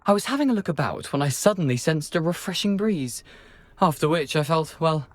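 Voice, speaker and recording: A man playing a jaunty, wry gentleman ghost in a video game, with a flamboyant and courteous manner. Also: on the high side, animated, brisk, natural voice. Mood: droll, breezy.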